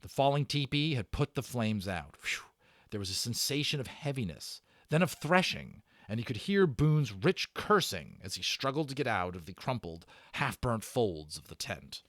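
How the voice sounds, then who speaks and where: A man narrating a children's adventure novel aloud as a dramatic read-aloud, with theatrical emphasis and deliberate pauses. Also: mid-range voice, big pitch swings, a bit bright, no room sound, clean studio sound. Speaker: audiobook narrator and channel host.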